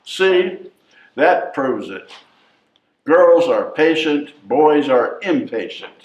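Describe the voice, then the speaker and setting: Man playing a cocky newborn baby, swooping pitch. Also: big pitch swings, slow. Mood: insistent. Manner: bossy, know-it-all. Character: cocky, chatty newborn baby, sure of being a girl. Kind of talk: readers theatre comedy performance. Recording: echoey, muffled stage recording from far off.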